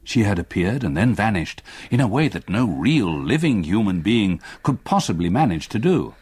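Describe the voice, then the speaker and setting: An actor playing an older English solicitor, gravely narrating a haunting memory in a radio play. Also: male voice, on the low side, big pitch swings, darkish tone, no room sound, clean studio sound. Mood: solemn. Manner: grave, measured storytelling. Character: haunted old English solicitor recalling past terror.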